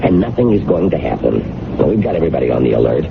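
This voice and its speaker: An actor playing an American show boss in a 1970s radio drama, steady and dismissive of fears. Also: male voice, extremely low pitch, rapid-fire, creaky, exaggerated voice. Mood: firm, reassuring. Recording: noisy, muffled old radio broadcast recording.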